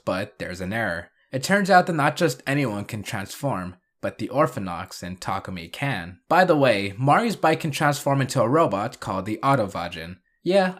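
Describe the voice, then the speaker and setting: A man's voice: mid-range voice, fairly bright tone. Man, recap narrator, scripted delivery, middle-range voice, wry dry asides. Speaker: video host and narrator.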